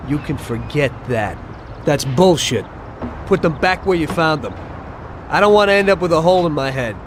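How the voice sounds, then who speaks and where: An actor playing a wary, tough 1930s gangster in a video game, talking tersely and dryly with music behind him. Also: male voice, fairly high pitch, lively intonation, moderate pace, a little hiss or hum, lightly acted. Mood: firm, wary.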